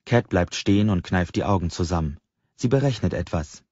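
A man reads a novel aloud as an audiobook narrator. His voice is fairly low and husky. The recording sounds roomy and a little dull. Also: animated, brisk, a little mellow. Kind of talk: scripted first-person novel narration.

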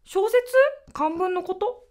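A woman's voice: very high voice, takes it slowly, warm tone. A woman acting in a radio drama as a blunt, teasing, imperious spirit who is full of curiosity. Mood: curious.

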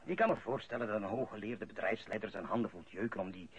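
A man's voice: on the low side, fast, slight background noise, natural voice. An actor playing an older, pragmatic father giving folksy advice in a radio play. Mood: calm, reasoning. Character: older father, pragmatic seasoned retired office chief. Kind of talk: Dutch-language radio drama acting. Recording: muffled old radio recording.

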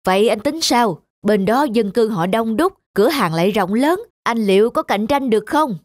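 A woman's voice: on the high side, animated, moderate pace, no room sound, clean studio sound. A woman voicing a chatty, nosy middle-aged village man in a cartoon, full of concern. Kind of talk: cartoon dubbing. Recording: music under the dialogue.

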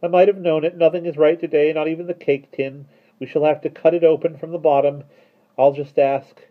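A man voicing a gloomy, apologetic storybook gentleman who speaks in a mournful, hesitant, grumbling way. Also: on the high side, little pitch movement, brisk, narrow, tinny audio, voice pushed up, lightly acted. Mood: sad, despairing.

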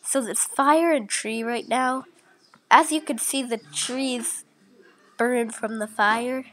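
A woman's voice: on the high side, takes it slowly, a bit bright. A young woman who is sick and sounds a little off, chatting casually with many fillers as she walks through a screen recording. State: sick, voice a bit off. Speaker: channel host.

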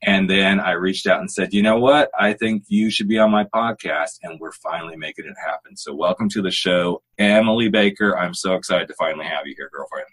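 Male host, 50s; low, husky voice, easygoing and encouraging. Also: fairly quick, hardly any pauses. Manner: warm, encouraging, chatty. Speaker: podcast host. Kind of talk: casual interview chat.

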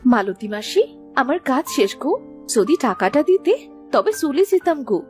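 Woman voicing a high-voiced, careworn village mother. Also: sing-song, normal speed, darkish tone, soft background music. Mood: humble. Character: careworn, practical poor village mother and wife. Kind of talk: Bengali moral-story cartoon dubbing.

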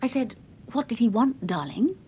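An actress playing a gracious, cheerful detective's wife in a radio drama. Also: female voice, fairly high pitch, very animated, moderate pace, darkish tone, slight background noise. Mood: fond, amused.

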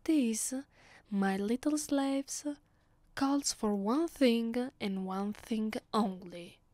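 A woman with an Italian accent playing a haughty, centuries-old vampire mistress in an ASMR roleplay, breathy and often near a whisper, imperious and dramatic. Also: a little high, very slow, darkish tone, no room sound. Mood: cold, commanding. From Italy.

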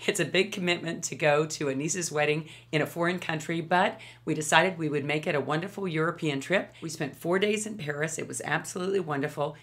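Hoarse, low-voiced woman in her fifties. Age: 50s. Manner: chatty and enthusiastic, gestures with her hands. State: hoarse, losing her voice from a cold. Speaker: beauty vlogger. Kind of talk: casual product review to camera. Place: home studio, indoors.

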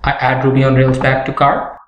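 A young man presenting a software tutorial in a scripted narration style. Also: mid-range voice, monotone, a little fast. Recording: roomy echo with background noise, clicks.

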